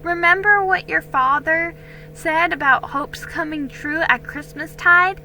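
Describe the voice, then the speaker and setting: A woman voicing a kindly, brisk colonial mother, cheerful and politely old-fashioned, in a storybook reading. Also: very high-pitched, bright, forceful tone, soft background music, pitched above the performer's own voice, heavily acted, low-quality recording. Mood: warm, cheerful.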